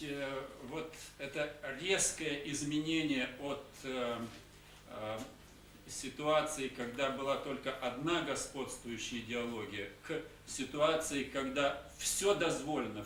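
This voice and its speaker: Brief: male conference speaker, halting and hesitant, heard from a distance in a roomy hall with faint hiss. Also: medium pitch, a bit bright. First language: Russian. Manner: halting, many hesitation sounds. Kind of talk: conference talk with pauses for interpreter.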